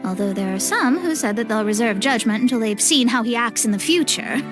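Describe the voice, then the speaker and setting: A woman's voice: fairly high pitch, expressive, brisk, over music, close to an everyday voice. A woman voicing a proud, dramatic young astrologer in a video game, wry and haughty in manner. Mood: explanatory. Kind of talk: video game cutscene acting.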